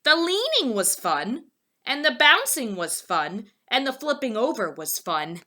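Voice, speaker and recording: A woman's voice: a little high, sing-song, a little slow, sharp tone, full character voice. A woman voicing a breezy, carefree male animal friend in a storybook, with a chirpy, sing-out delivery. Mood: cheerful.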